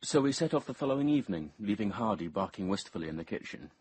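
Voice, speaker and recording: A man's voice: fairly low pitch, sing-song, fast talker, a little mellow, husky, no room sound, rough recording. An actor playing a reserved young English man in a radio drama, understated and reflective as narrator and character. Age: young adult. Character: reserved, squeamish young man recalling his family's past.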